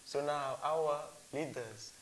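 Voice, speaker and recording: A man's voice: a little high, takes it slowly, a little hiss or hum, poor audio. A man from Congo, sharing rambling, earnest opinions in a casual discussion. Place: Cape Town.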